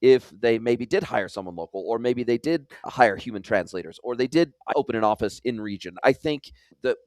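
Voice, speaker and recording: A man's voice: medium pitch, animated, brisk, no echo. A man hosting a podcast interview, talking frankly and casually, repeating phrases to build his point. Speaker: podcast host.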